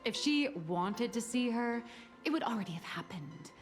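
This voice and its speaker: A woman's voice: on the high side, lively intonation, moderate pace, faint music behind, close to an everyday voice. An actress voicing a playful, teasing, self-deprecating young woman in an anime-style video game, chatty and theatrical. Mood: skeptical, explaining.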